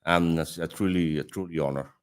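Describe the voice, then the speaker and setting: Deep-voiced Dominican man hosting a chat. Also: steady tone, brisk, clear, resonant tone. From Dominican Republic. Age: 30s. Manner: laughs often, friendly and chatty. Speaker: host. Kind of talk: casual chat.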